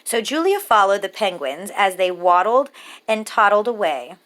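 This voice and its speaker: A woman storyteller reading a children's picture book aloud with measured, rhythmic, expressive phrasing for young listeners. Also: medium pitch.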